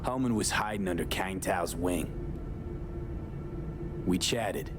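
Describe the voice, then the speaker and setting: An actor playing a weary, dying mercenary in a video game, American, with a blunt, slangy, sardonic way of talking. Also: male voice, deep voice, slow, over music. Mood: blunt, pressing. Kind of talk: cinematic video game dialogue.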